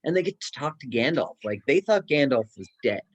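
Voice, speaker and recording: A man's voice: mid-range voice, big pitch swings, fairly quick, husky, highs cut off. A man chatting casually and enthusiastically in a book discussion. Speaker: book club guest, bookstore worker.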